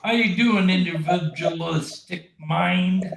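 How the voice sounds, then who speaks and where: A man's voice: sits high, slow, creaky, fairly bright tone. A man from Indiana, a guest on a casual livestream panel, cracking crude jokes in a drawl.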